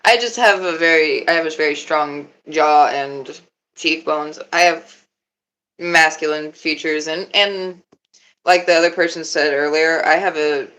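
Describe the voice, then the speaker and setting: A young woman with a lowish, deeper voice chats casually on her live stream. She is laid-back and giggles often, swearing freely as she answers her chat. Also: measured pace, fairly bright tone, rough recording. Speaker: livestreamer.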